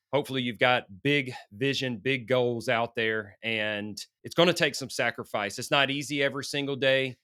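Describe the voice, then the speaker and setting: Hoarse man hosting a motivational podcast. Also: medium pitch, pushed and bright, no echo, clean studio sound. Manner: earnest, coaching, with restarts. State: hoarse from a sinus infection. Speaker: podcast host.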